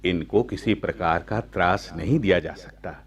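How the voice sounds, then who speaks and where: Measured, deep-voiced noble ruler. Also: male voice, creaky. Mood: commanding. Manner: measured, formal, commanding. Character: calm, authoritative, lawful male nobleman ruler. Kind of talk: Hindi radio play acting.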